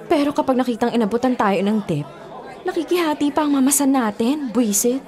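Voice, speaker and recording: A woman's voice: high-pitched, fairly quick, warm tone, a faint crowd, natural voice. A woman acting a streetwise, griping, card-loving bar waitress in a Filipino radio drama, talking colloquially.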